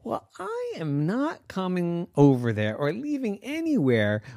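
Woman voicing a sleepy, exasperated storybook girl, hushed, lively pitch, close dry sound. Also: unhurried, no echo, full character voice. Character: sleepy young girl hushing a caller.

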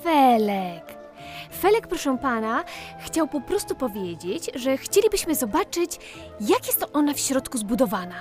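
Smart older sister kid in audio story, high voice, slow careful talk, gentle. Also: female voice, big pitch swings, faint music behind. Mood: gently chiding. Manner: gentle, measured, explanatory. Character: sensible, knowledgeable big sister, a young girl. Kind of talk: children's audio play acting.

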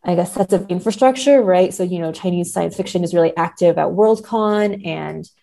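A woman's voice: lowish voice, normal speed, creaky, mellow. A woman talking through literary ideas in conversation as a podcast guest, thinking aloud and often hedging her points. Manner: hesitant, hedging, thinking aloud, seeking agreement with "right?".

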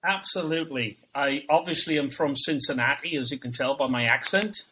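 A man's voice: a little high, brisk, bright, forceful tone, a little hiss or hum, low-quality recording. A man from Wales, chatting casually as a guest on a podcast and laughing between his stories. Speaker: guest, tribute band singer.

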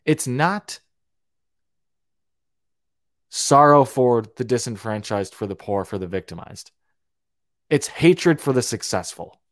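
A man's voice: mid-range voice, animated, slow, pauses often, no room sound. Young guy ranting hard on his podcast, swears a lot, trips over his words, dry close sound. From Romania. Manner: heated, ranting, repeats and restarts words, swears freely. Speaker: podcast host. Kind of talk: opinionated monologue.

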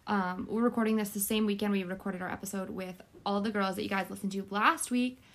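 Casual woman podcast co-host, medium pitch. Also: steady tone, quick pace. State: tired. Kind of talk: casual chat. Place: bedroom.

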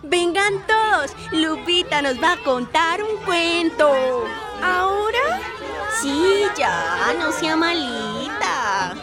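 A woman's voice: very high-pitched, lively intonation, takes it slowly, over music, full character voice. A woman playing an eager, curious small child in a radio drama. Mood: eager, pleading.

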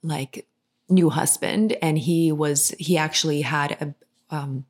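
A woman's voice: low voice, fairly even intonation, slow pace, darkish tone, husky. A woman from Finland, co-hosting a podcast in casual, rambling chat full of fillers and restarts. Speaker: podcast co-host.

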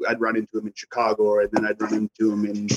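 A man's voice: lowish voice, monotone, fast talker, dull audio. A man, a band member chatting casually in an interview, rambling and easygoing. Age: 40s.

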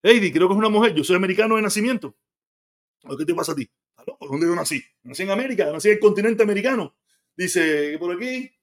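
Cuban man, high voice, blunt live-stream host, argues with chat, swears. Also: animated, fast talker. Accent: Cuban Spanish. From Cuba. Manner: blunt, argumentative, swears freely.

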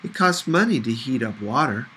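A man's voice: mid-range voice, animated, normal speed, sharp tone, a little hiss or hum. A man voicing a caring teenage girl who minds a small boy, patient and casual, in an audiobook.

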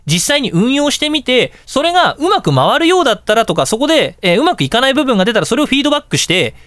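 A man hosting a casual radio talk show, telling anecdotes in an animated way and acting out dialogue and reactions. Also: very high-pitched, fast, no echo, clean studio sound.

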